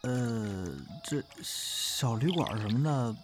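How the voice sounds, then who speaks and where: A man playing a candid, easygoing young performer in an audio drama, speaking colloquially and haltingly. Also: mid-range voice, faint music behind, close to an everyday voice. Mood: awkward, hesitant. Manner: colloquial, halting, hedging speech. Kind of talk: modern Chinese audio drama acting, naturalistic dialogue.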